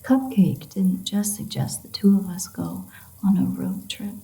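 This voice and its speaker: A woman's voice: mid-range voice, steady tone, measured pace, vocal fry, mellow, in a whisper, poor audio. A woman playing a sardonic, free-spirited, flirtatious female vampire in an intimate audio roleplay, teasing and swearing as she goes. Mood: sarcastic, teasing.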